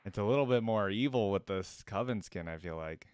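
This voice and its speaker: A man's voice: on the low side, fairly quick, a little mellow, clean tone, no room sound, clean studio sound. A young man giving casual commentary as the host of a reaction video. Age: young adult. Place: indoors at a desk.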